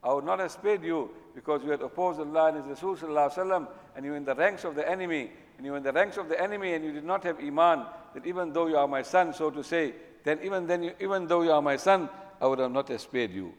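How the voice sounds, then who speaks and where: Fast-talking male preacher in an echoey hall. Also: a little high. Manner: emphatic, repeats phrases for stress. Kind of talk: religious sermon. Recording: echoey hall with long reverb.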